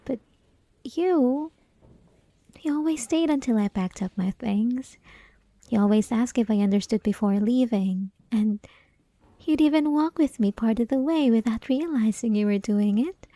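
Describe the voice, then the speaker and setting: Woman acting a soft, high-voiced clingy yandere schoolgirl. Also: lively intonation, dry recording. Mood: wistful, infatuated. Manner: coy, teasing, pleading, halting, often dropping to whispers. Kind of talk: ASMR roleplay, intimate scripted monologue.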